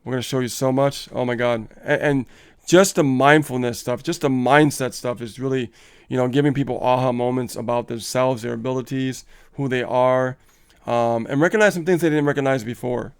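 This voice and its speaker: Gravelly, smooth-voiced motivational man. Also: mid-range voice, steady tone, moderate pace, few pauses. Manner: upbeat, motivational, laughs often, reads viewer comments aloud. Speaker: co-host of a live coaching stream. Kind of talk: casual live-stream chat.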